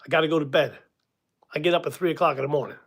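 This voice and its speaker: Man, 60s, relaxed livestream host, higher-pitched voice, rambling and chuckly. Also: rapid-fire, slightly rough. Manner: casual, rambling, chuckles at himself, many ums. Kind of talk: live stream chat with viewers.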